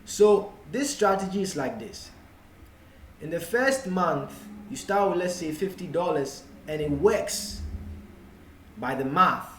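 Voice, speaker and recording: Ghanaian man, slow-talking tutor in echoey room. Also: on the high side, expressive, a bit bright. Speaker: forex trading tutor. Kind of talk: explanatory tutorial talk, unscripted. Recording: echoey room with faint background noise.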